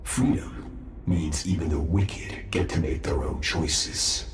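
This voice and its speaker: An actor playing a proud, self-assured male immortal master in a video game, speaking in a haughty, measured way. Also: a bass voice, a little hiss or hum. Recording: roomy, with loud game music.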